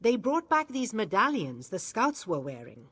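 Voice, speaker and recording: An actress playing an exhausted, loyal court mage in a fantasy video game, earnest and dramatic. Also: female voice, medium pitch, sing-song, moderate pace, a bit bright, slightly rough. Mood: puzzled, thoughtful. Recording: faint music behind the voice.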